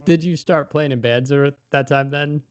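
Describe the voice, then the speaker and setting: A man's voice: fairly high pitch, steady tone, normal speed, clean tone, dry recording. A man hosting a podcast, interviewing his guest in a casual, conversational way. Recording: dry, slightly dull lo-fi audio.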